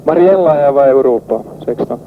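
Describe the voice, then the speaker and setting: A man, a ship's officer, answers a distress call in a maritime exchange over VHF radio. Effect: VHF marine radio. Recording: noisy, narrow-band 1990s marine radio. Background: loud radio static and rumble.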